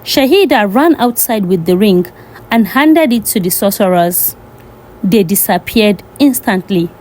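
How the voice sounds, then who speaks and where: A woman's voice: medium pitch, animated, a little slow, darkish tone, slight background noise. A woman storyteller reading a scripted folktale narration.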